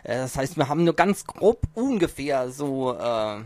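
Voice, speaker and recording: A man's voice: medium pitch, very animated, a little slow, a little mellow. A man hosting a casual podcast from a small home studio, chatting in a jokey, self-mocking way, his mic distance shifting now and then. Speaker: podcast host. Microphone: home mic, distance varies.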